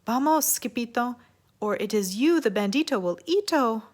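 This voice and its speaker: Woman voicing high, excitable singsong Chihuahuas. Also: normal speed. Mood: alarmed. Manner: chanting, singsong exclamations. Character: jubilant pack of singing little storybook Chihuahuas.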